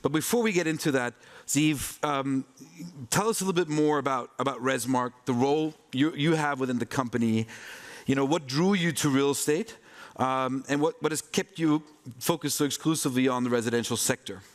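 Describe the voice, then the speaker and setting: A man moderating a conference conversation, asking questions in a halting, conversational way. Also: mid-range voice. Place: conference stage.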